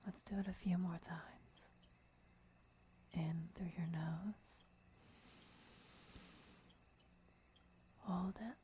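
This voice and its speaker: Girl doing a devoted vampire mentor for asmr, super slow whispery voice, mellow and soothing. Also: lowish voice, steady tone, a little hiss or hum. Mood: calm, soothing. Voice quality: breathy, mostly whispered. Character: devoted, gentle female vampire lover and mentor.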